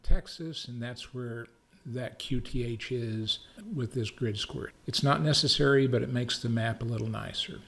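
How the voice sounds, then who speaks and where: A man with an American accent narrating a screencast software tutorial in a measured, methodical, step-by-step way. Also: medium pitch. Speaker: tutorial presenter, amateur radio operator.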